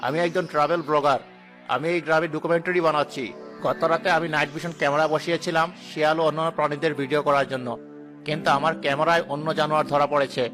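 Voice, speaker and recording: Man playing an assured, bright-voiced cartoon travel blogger. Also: fairly high pitch, flat delivery, quick pace, faint music behind, natural voice. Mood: confident. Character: calm, helpful travel blogger making a documentary. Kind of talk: animated cartoon dialogue.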